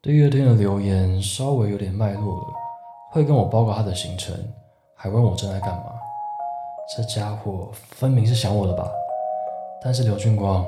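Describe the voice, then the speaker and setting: Lovestruck male student played by a man, low voice, Taiwanese accent, fond teasing, music underneath. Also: normal speed, lightly acted. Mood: fond, wry. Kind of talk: audio drama narration and dialogue. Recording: roomy echo, music behind.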